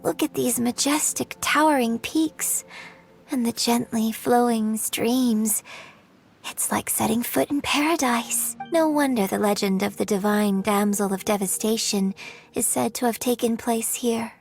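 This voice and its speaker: An actress voicing a young woman opera singer, telling a legend in measured, poetic narration in a video game. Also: a little high, gravelly, faint music behind.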